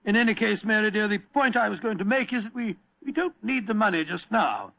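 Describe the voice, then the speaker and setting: A genial, fussy British gentleman storyteller in an old radio play. His voice is high and crackly, and he blusters and repeats himself. The sound is thin and phone-like. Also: lively intonation, brisk, gravelly. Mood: cheerfully sheepish. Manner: blustering, hesitant, repeats words. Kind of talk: vintage radio drama acting.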